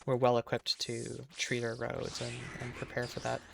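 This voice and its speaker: A man's voice: medium pitch, completely flat delivery, normal speed, creaky, music in the background. A man with a Minnesotan accent, in his thirties, chatting casually with viewers while he streams a game and restarting his sentences as he goes. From Minnesota, USA.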